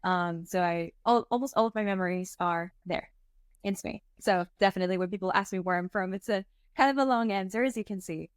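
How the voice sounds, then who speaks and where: A young woman in her twenties from Venezuela, telling her story as an interview guest in a chatty, animated way with many fillers. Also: mid-range voice, a little fast, clean tone, dry recording, clean studio sound. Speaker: startup co-founder, guest. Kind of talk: casual interview talk.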